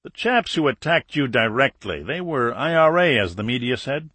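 A man voicing a friendly, courteous Australian army colonel in an audiobook, with a brisk military manner. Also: medium pitch, big pitch swings, bright, forceful tone, no room sound, voice pushed up. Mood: curious. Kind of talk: audiobook character dialogue.

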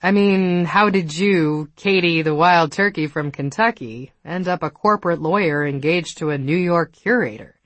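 A cool, guarded New York woman, as voiced by a female audiobook reader. She speaks in a measured, wry deadpan with a slightly low voice. The recording is dry and close. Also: no echo, close to an everyday voice. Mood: wry, probing. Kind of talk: audiobook character dialogue.

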